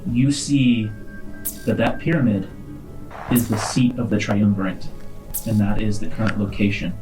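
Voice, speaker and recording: A man's voice: fairly low pitch, steady tone, normal speed, over music, poor audio. A man working as game master, improvising narration for a science-fiction tabletop game.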